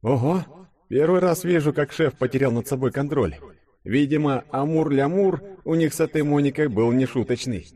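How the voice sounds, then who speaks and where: A man's voice: a little high, normal speed, dark tone, voice pushed up, natural voice. A man voicing a wry, sarcastic young man with a light, ironic manner in an audiobook. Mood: musing.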